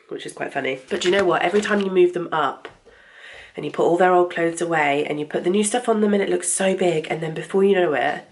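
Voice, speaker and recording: Young woman, stuffy cold voice, low-pitched, rambling casual chat, echoey kitchen. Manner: rambling, conversational, talks while cooking. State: congested with a cold. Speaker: vlogger. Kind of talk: casual chatty vlog. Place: home kitchen. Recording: echoey room, faint noise.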